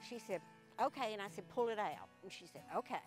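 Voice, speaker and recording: A woman's voice: medium pitch, expressive, fairly quick, soft background music. Chatty Southern woman telling a story. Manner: chatty, laughs often, acts out quoted dialogue. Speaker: local interviewee. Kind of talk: casual storytelling chat.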